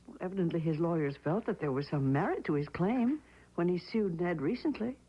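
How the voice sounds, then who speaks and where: Frantic widow, old radio drama, husky voice jumping all over, muffled old sound. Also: female voice, lowish voice, expressive, brisk. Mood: probing. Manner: probing, increasingly frantic. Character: anxious grieving wife, shaken by a ghostly visit. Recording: dull lo-fi old radio recording.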